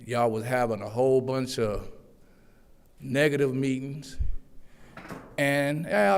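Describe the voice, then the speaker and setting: A man giving public comment at a city council meeting, speaking loudly and earnestly, pleading with the council. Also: mid-range voice, vocal fry, clean tone. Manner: loud, earnest, pleading delivery. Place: echoey city council chambers. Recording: long hall reverb.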